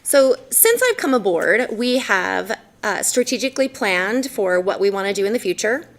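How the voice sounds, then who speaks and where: A woman's voice: medium pitch, lively intonation, normal speed. A woman in her forties from Idaho giving a formal presentation in a city council chamber, earnest and sprinkled with ums and self-corrections. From Idaho, USA.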